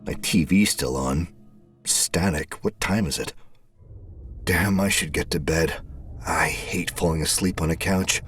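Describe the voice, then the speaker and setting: A man narrating a scripted first-person story in a brooding, terse, deliberate manner. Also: deep voice, a bit bright, breathy edge. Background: background music.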